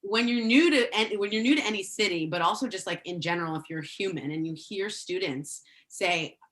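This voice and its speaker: A woman from El Salvador talking as a podcast guest, animated, with quick laughs and many "like" fillers. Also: medium pitch, roomy sound. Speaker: guest, former education official. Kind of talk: casual podcast conversation.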